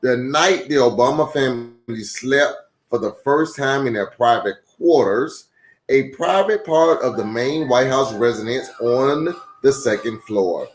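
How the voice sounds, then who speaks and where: Animated man reading a children's story, roomy echo. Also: medium pitch, unhurried. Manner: addresses young listeners directly with rhetorical questions and exclamations. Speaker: storytime host and pastor reading a children's book. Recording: roomy echo with music underneath.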